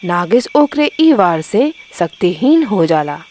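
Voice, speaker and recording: A woman's voice: medium pitch, very animated, measured pace, darkish tone, slight background noise. A woman reading a scripted fairy tale as narrator, in a dramatic storytelling style.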